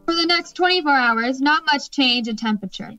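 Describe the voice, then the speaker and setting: A woman playing a formal, measured 1930s radio network announcer in a radio play. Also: high-pitched, bright, forceful tone, faint music behind. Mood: measured, informative.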